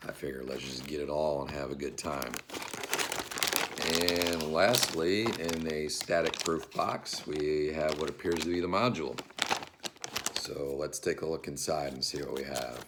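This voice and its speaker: A man hosting a casual unboxing, talking in a measured way as he handles and describes items, with rustling and clicking around him. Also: rumbling low. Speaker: unboxing video host. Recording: noisy room with rustling and clicking.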